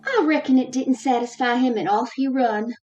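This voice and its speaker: An exasperated pioneer mother, acted by a woman, pitched extremely high. She talks in folksy, rustic phrases and frets, in a radio Western with faint music. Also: moderate pace, muffled recording, close to an everyday voice. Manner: rustic folksy frontier speech. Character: exasperated, fretful frontier mother of a wild boy. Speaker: actor in an amateur radio drama. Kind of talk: Western radio drama acting.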